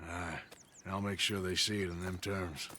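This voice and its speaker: Blunt, forceful outlaw man. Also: deep voice, level tone, moderate pace, a little hiss or hum. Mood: sardonic. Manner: blunt, rough folksy wording, menacing. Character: tough, blunt male western outlaw debt collector, sardonic. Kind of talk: video game acting.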